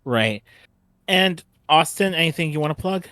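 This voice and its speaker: A man with a slightly high voice hosts an informal fan panel. He talks in a halting, unscripted way, doubling back on his phrases. The sound is dry, with little room in it. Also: animated, sharp tone, no echo. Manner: halting, repeats and restarts phrases. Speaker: panel host.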